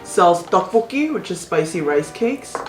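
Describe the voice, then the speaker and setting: A woman with a low voice hosts a casual food chat, calmly walking viewers through each dish. Her voice echoes around the room, with soft music behind it. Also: unhurried. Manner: relaxed, explains dishes to the viewer. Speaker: vlog host.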